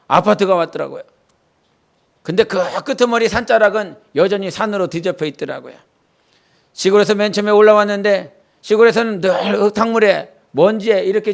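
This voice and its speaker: A man's voice: high-pitched, gravelly. A male pastor from the Jeolla region preaching a Wednesday church sermon, moving between earnest teaching and homely stories from his childhood. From Jeolla, South Korea. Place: church sanctuary.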